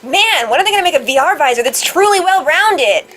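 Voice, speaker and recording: A woman voicing a bold, talkative young VR enthusiast in a visual novel game, with brisk, assertive geek talk. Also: high voice, animated, a crowd in the background, voice pushed up. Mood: eager, assertive.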